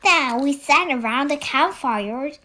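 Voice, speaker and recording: High-pitched young girl reading a storybook. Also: animated, slow pace, pushed and bright. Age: child, about eight. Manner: earnest, careful reading with occasional stumbles. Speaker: young reader of a children's book read-aloud. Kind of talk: expressive storybook read-aloud narration.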